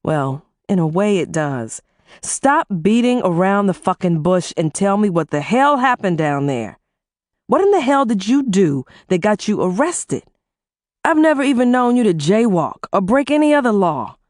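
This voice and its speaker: A woman voicing a blunt, quick-tempered husband who scolds and swears. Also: medium pitch, sing-song, darkish tone, dry recording, clean studio sound, voice pushed up, close to an everyday voice. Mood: angry. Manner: gruff and profane, scolding.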